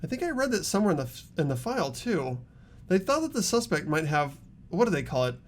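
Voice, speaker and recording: A man's voice: fairly high pitch, sing-song, fast. A man voicing an earnest, thoughtful teenage boy detective, tentatively reasoning in an amateur game dub. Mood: pondering.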